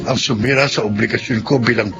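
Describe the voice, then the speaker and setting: A man's voice: medium pitch, fairly even intonation, quick pace, gravelly, natural voice. An actor playing a proud, self-centred middle-aged father in a Tagalog radio drama. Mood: remorseful. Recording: muffled lo-fi audio under loud music.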